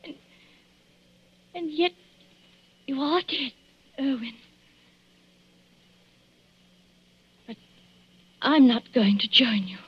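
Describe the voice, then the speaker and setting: An actress playing an anguished yet defiant wife in an earnest, dramatic 1940s radio suspense style. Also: female voice, high voice, lively intonation, takes it slowly, slightly rough, exaggerated voice. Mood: anguished, desperate. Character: anguished, defiant young wife in a deadly marriage. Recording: dull old radio recording.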